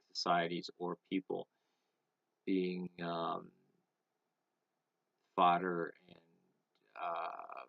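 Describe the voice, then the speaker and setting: A man giving a casual opinion monologue to camera, speaking haltingly with many pauses while smoking. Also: low-pitched, vocal fry, no echo, highs cut off. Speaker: vlogger.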